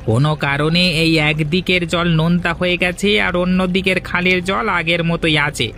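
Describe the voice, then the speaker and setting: Guy voicing a sneaky cartoon village schemer, high bright voice, plotting under his breath. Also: fairly even intonation, normal speed, slight background noise. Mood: scheming. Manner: plotting aloud to himself, sly. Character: scheming middle-aged village man. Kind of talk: cartoon voice acting.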